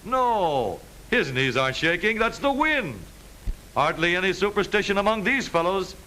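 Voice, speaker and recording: A man with an American accent narrates in the style of an old educational newsreel. His voice sits fairly high and bright, with a lively, swinging intonation. The delivery is scripted but jaunty, with a wry, wisecracking edge. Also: normal speed, slight background noise, poor audio.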